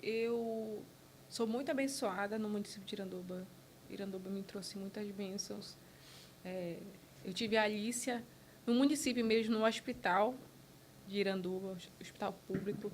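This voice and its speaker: A woman from Brazil talks as a guest on a podcast, mid-pitched and earnest. She speaks in short, halting bursts and often restarts her sentences. A faint rumble sits under the recording. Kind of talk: casual interview conversation.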